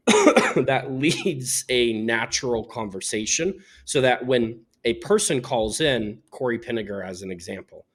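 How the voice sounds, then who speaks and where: A man speaking as an interviewed company founder, explaining things in a candid, measured and articulate way. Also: mid-range voice, animated. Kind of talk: explanatory business interview talk.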